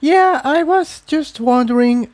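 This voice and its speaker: A man's voice: extremely high pitch, takes it slowly, voice pushed up. A man voicing a timid female pegasus refugee in a fantasy story reading, hesitant and nervous.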